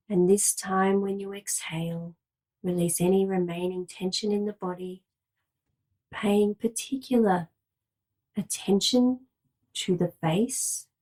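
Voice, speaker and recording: A woman leading a guided relaxation meditation as a yoga nidra guide, giving calm, measured, soothing instructions with long pauses. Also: fairly low pitch, low-quality recording.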